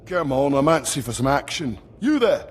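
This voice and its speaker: An over-eager young thug for a video game voiced by a man. His mid-pitched voice swings up and down as he talks bluntly and excitably, none too bright. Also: moderate pace, a little hiss or hum, lightly acted. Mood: eager, pushy. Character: brash, over-eager, dim young male thug acolyte.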